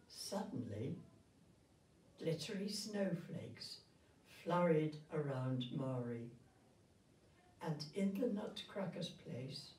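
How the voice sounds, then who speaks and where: An elderly woman reading a storybook aloud to children in a gentle, grandmotherly and expressive way. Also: low voice, slight background noise. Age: 70s or older. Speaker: retirement village resident reading a story. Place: indoor room with festive backdrop. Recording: roomy sound, distant microphone.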